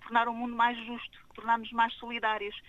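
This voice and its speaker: A woman's voice: on the high side, monotone, brisk, no echo, narrow, tinny audio. A woman with a European Portuguese accent, answering interview questions about her charity's work in an earnest way. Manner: earnest, frequent tag questions. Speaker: charity association representative.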